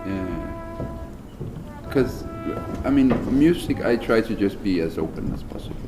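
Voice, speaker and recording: A man, a jazz bassist answering interview questions thoughtfully, with lots of hesitations and restarts. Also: expressive, unhurried. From Denmark. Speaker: jazz double bass player, interviewee. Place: concert venue. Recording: music playing underneath. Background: music playing.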